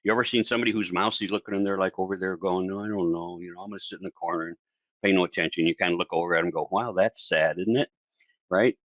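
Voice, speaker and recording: A man with a fairly low voice coaches viewers on public speaking in a chatty, animated way, jumping into little voices for the people he quotes. The sound is dry and a bit dull, like a home-video recording. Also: fast, no echo. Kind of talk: casual solo video lesson.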